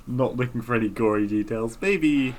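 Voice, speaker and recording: A man's voice: medium pitch, normal speed. A man co-hosting a podcast, chatting casually and reading passages of a story aloud. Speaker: podcast co-host.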